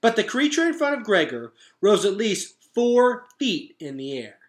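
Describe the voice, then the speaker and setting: A man reading a children's book aloud as a teacher would, with dramatic, expressive narration. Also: high voice, moderate pace.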